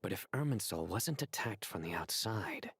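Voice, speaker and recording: Stern decisive male commander, lowish voice, serious, measured, video game drama, faint noise behind. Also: expressive, fairly bright tone.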